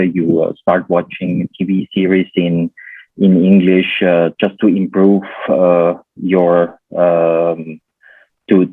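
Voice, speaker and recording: A German-accented man with a very low voice talks hesitantly in a casual chat, pausing and restarting often. His voice is husky and comes through a tinny, dry phone-like line. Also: no echo. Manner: hesitant, many fillers and restarts. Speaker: guest.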